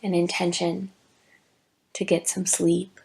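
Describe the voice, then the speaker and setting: A woman teaching yoga nidra, giving gentle, deliberate guided meditation instructions with long pauses. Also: lowish voice, flat delivery, vocal fry, slightly rough, rough recording. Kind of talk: slow guided meditation narration.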